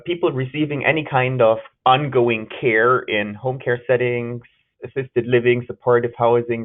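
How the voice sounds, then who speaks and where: German-accented man on a tinny line. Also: mid-range voice. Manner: earnest, with frequent uh fillers and self-corrections. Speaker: presenter. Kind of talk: webinar research presentation. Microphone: video call.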